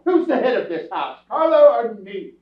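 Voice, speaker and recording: A man's voice: sits high, sing-song, normal speed. A man playing a grumbling, sarcastic, exasperated father of a wealthy family in a staged radio play. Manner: sarcastic, blustering. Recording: echoey stage, far mic, muffled.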